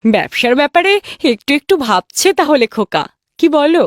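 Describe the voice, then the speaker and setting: A woman's voice: high-pitched, sing-song, normal speed, no echo, studio quality. A woman playing a caring wife and indulgent mother in a cartoon tale, fussing and coaxing. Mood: concerned, coaxing.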